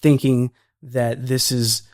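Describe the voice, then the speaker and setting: A man's voice: mid-range voice, little pitch movement, slow, no room sound. Earnest man talking, dry close sound. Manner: earnest, repeats and restarts words often. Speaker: filmmaker guest. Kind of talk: casual podcast conversation.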